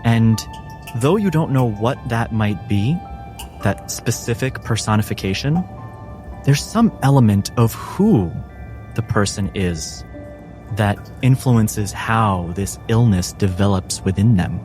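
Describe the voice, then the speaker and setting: Slow, low-voiced man running a tabletop game. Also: lively intonation, darkish tone, soft background music. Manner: measured, deliberate phrasing with dramatic pauses. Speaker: game master. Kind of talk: dramatic tabletop game narration.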